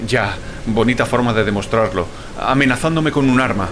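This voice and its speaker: A man's voice: mid-range voice, normal speed, noisy background, close to an everyday voice. A male actor with a Spanish accent from Spain playing an alarmed, defiant, sarcastic brother in an audio drama. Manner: agitated, incredulous, sarcastic. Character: alarmed, defiant, sarcastic younger-generation brother, bewildered and foul-mouthed. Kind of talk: Spanish audio fiction acting with inner narration. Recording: dramatic music bed under the voice.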